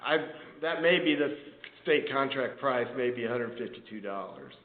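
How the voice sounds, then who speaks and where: A man's voice: mid-range voice, expressive, moderate pace, narrow, tinny audio. A man, a town official, talking informally in a council meeting over a conference call. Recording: echoey, noisy phone conference line.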